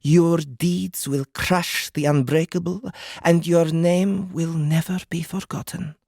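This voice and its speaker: A cryptic female psychic for an audiobook drama. She speaks slowly in a creaky, fry-laden voice, ominous and drily sardonic. The recording is close and free of room sound. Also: on the low side, steady tone, a little mellow, dry recording, heavily acted. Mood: portentous. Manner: slow, portentous, dry.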